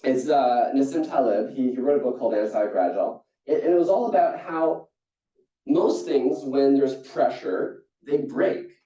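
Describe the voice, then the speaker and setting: A young man from Singapore giving a keynote talk, telling stories in a lively way with many restarts. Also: normal speed. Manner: storytelling, animated with jumps in pitch and frequent restarts. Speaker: keynote speaker. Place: conference hall. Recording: echoey hall, distant and dull.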